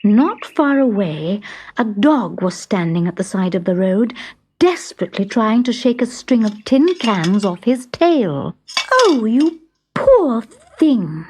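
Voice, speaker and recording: Female children's storyteller: slow, measured and expressive, highly varied intonation. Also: slight background noise. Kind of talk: scripted children's story narration.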